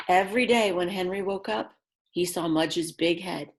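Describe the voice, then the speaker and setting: A woman reading a picture book aloud to young children, expressively and simply, with chatty asides to her listeners. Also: fairly low pitch. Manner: slow, simple phrasing with dramatic emphasis and chatty asides. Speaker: children's read-aloud storyteller.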